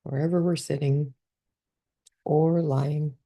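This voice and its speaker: A woman leading a guided body scan meditation, giving calm, gentle step-by-step instructions. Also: low-pitched, slow.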